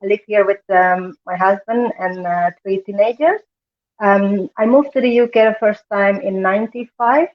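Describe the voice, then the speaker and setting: Woman in her 40s from Indonesia, halting delivery, phone-like call sound. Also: mid-range voice. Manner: hesitant, many fillers. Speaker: panel guest. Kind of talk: casual online panel talk. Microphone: video call. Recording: tinny phone-like call audio.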